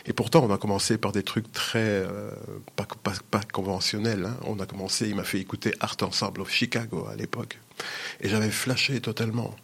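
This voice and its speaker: Gravelly older man. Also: fairly low pitch, little pitch movement, fairly quick, a bit bright. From Sicily. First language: Sicilian. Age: 60s. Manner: hesitant, many restarts and fillers. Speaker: musician guest. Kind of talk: casual interview talk.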